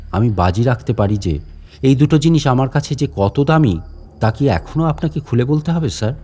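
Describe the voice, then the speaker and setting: A man's voice: medium pitch, mellow, natural voice. A man playing a menacing old caretaker in a horror audiobook, a grieving, vengeful father who speaks with polite deference and sly questions. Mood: quietly menacing. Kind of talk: dramatic audiobook horror monologue.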